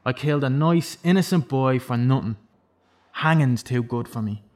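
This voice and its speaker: Male actor as remorseful prisoner, mid-pitched, halting, self-loathing, confessional, naturalistic radio drama. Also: darkish tone, natural voice. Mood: bitter, self-loathing. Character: remorseful imprisoned killer confessing to a victim's mother.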